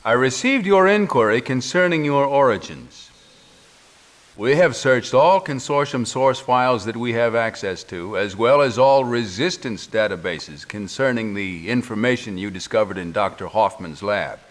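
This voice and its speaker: A stern military commander acted by a man, middle-aged, reports in a video game briefing. His mid-range male voice is measured and official, with formal military reserve. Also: mid-range voice, lively intonation, close to an everyday voice. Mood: formal, reserved.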